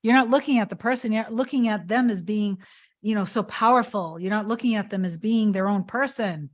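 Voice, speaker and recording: A woman's voice: medium pitch, fairly quick. Earnest woman coaching on a live stream. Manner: repetitive, emphatic, earnest. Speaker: manifestation coach. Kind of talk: live-stream talk answering chat comments.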